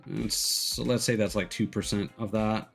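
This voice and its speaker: Male game streamer, lowish voice, casual and analytical with halting delivery, music playing underneath. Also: fairly even intonation, gravelly, fairly bright tone. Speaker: streamer, host of a gaming live stream. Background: background music.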